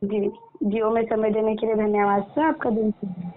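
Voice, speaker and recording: A woman's voice: mid-range voice, little pitch movement, normal speed. A woman with an Indian accent working as a customer care agent on the phone, polite, formal and repetitive. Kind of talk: scripted customer service phone talk. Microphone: phone line. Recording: tinny phone line with faint noise.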